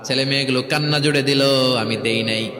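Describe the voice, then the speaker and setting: A man's voice: mid-range voice, steady tone, a little fast, crowd noise. A man preaching a dramatic storytelling sermon, acting out dialogue, repeating phrases and calling on listeners to respond. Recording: echoey hall with long reverb.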